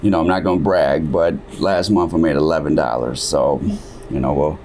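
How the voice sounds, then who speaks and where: A man's voice: a bass voice, fairly even intonation, moderate pace, darkish tone, a little hiss or hum. A man hosting a podcast, talking in a casual, rambling way with lots of "you know" fillers. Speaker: podcast host. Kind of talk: casual chat.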